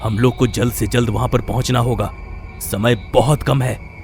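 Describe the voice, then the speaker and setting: A man's voice: medium pitch, steady tone, normal speed, a little mellow, soft background music, lightly acted. A man playing an old tantric holy man in a horror story, solemn and resolute, speaking emphatically. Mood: grave, urgent. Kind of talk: dramatic horror story acting.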